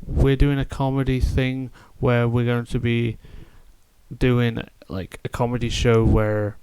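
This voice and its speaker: A man's voice: mid-range voice, little pitch movement, slow pace, a little mellow, clear, resonant tone, dry recording, low-quality recording. A man in his late twenties hosting a gaming live stream, chatting in a rambling, hesitant, jokey way. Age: late 20s. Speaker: gaming channel host. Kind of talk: casual live-stream chat.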